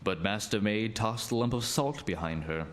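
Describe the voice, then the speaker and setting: A man's voice: on the low side, moderate pace, natural voice. A man narrating a fairy tale as the storyteller of a scripted radio play. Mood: dramatic narrating.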